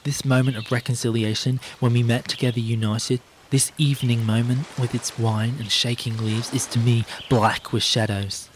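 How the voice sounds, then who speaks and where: A man's voice: medium pitch, level tone, normal speed, few pauses, a little hiss or hum, natural voice. An actor playing a wounded, gloomy middle-aged man in a literary radio drama, solemn and poetic. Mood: bitter, mournful.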